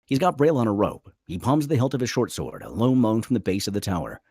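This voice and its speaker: A man's voice: on the low side, big pitch swings, quick pace, darkish tone, breathy edge, dry recording, clean studio sound. A man narrating a screenplay dramatically at a table read.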